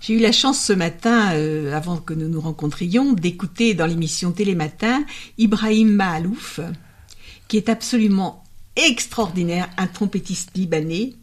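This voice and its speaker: A woman's voice: lowish voice, moderate pace. Woman interviewer with a discursive manner. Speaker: interviewer, host. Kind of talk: conversational interview.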